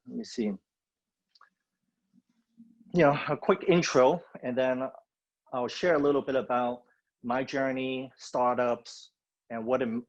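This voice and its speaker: A man from Hong Kong gives a relaxed, filler-laden guest talk over a video call. His voice sits at an ordinary pitch, and the sound is dull and lo-fi. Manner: loose and conversational, many fillers. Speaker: guest speaker. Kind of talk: webinar presentation.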